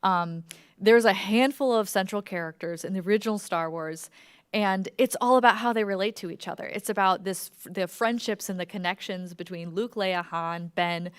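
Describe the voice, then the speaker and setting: A woman's voice: medium pitch, moderate pace, smooth, no room sound, clean studio sound. A woman giving an informal workshop presentation as a podcast creator, talking in a conversational, enthusiastic way peppered with "like". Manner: conversational, enthusiastic, wordy with frequent "like".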